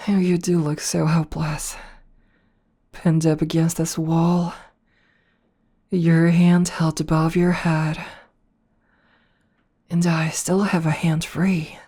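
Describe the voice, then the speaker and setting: A woman playing a slow, teasing vampire princess. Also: sits low, level tone, breathy edge, heavily acted. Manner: soft, slow and toying, slipping into whispers. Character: teasing noble female vampire princess, predatory and playful. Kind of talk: ASMR audio roleplay.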